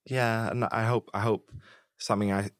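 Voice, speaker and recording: A man, a musician guest, talking candidly and openly in a laid-back, soft-spoken way. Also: on the low side, little pitch movement, moderate pace, no echo, clean studio sound. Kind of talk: candid interview conversation.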